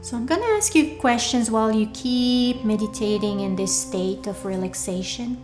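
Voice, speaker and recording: A woman leading a guided meditation in a soothing, deliberate way, leaving pauses between short phrases. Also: fairly high pitch. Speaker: meditation guide, workshop leader. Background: soft background music.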